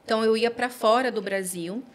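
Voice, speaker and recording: Higher-voiced Brazilian woman chatting expressively. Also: fairly quick. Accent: mixed Brazilian. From Rio de Janeiro and São Paulo, Brazil. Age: 40s. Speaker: podcast guest, pharmacist. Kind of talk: casual interview storytelling.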